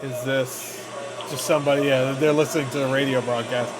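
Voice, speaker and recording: A man's voice: mid-range voice, fairly even intonation, fairly quick, poor audio. A man giving casual, jokey reaction commentary with slangy swearing as he watches a video. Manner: profane, slangy, jokey asides. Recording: clear background noise.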